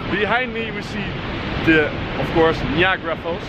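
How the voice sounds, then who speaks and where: Young Dutch-accented male vlogger, slightly high voice, casual filler-heavy delivery; loud outdoor noise. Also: normal speed, lo-fi sound. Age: young adult. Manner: chatty, filler-heavy tour narration. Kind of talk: casual travel vlog. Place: outdoors on a road trip.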